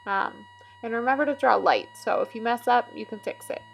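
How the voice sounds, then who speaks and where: A woman's voice: on the high side, brisk, creaky, faint music behind, rough recording. A woman working as an art teacher, casually explaining a drawing demonstration step by step as she works.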